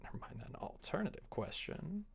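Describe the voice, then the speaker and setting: A man's voice: a little high, big pitch swings, normal speed, gravelly, a little hiss or hum. A young man chatting casually on a livestream, thinking aloud as he works, informal and self-deprecating. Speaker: livestreamer coding a game. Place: indoors, small room at a computer.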